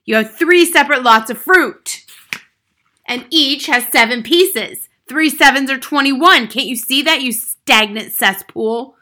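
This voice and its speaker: A woman voicing a huge bullying headmistress in a storybook, booming and barking with scorn and rage. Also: a little fast, pushed and bright. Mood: furious. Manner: booming, barking, hurling insults.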